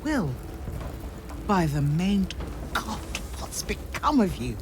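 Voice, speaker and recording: A grave, dutiful woman of authority in a fantasy game. She speaks formally, as one used to giving orders, with urgency under the words. Clear ambient noise runs behind her voice. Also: on the low side, sing-song, takes it slowly. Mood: shaken, grateful. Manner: formal, commanding. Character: stern, dutiful female city councillor, urgent and grave. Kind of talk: video game dialogue acting.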